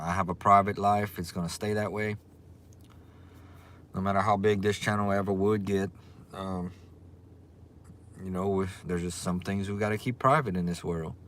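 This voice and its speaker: A man with a thick Cajun accent hosts a laid-back livestream, chatting easily and answering viewers as their comments roll in. His voice sits a little low for a man, loose and unhurried, with a friendly Southern drawl. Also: slight background noise. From Louisiana. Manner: relaxed, chatty, reads and greets viewers' comments. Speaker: livestream host. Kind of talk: casual livestream chat.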